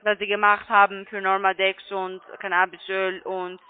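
A call centre agent heard over a narrow, tinny phone line. The voice is mid-pitched with a foreign accent, and the phrasing is halting and non-native, as in a sales call. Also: monotone, clear, resonant tone. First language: not German.

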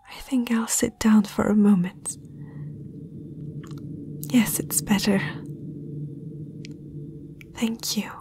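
A woman playing a young princess, haughty yet spellbound, in a breathy, hesitant whisper for an ASMR fantasy roleplay. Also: on the high side, warm tone, a little hiss or hum. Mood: entranced, compliant. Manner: slow, hesitant, dreamy delivery.

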